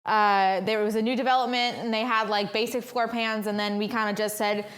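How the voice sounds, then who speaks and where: A woman's voice: mid-range voice, fairly even intonation, brisk, a bit bright. A woman hosting a casual cooking livestream from her home kitchen, chatting along with lots of ums and uhs. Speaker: cooking stream host.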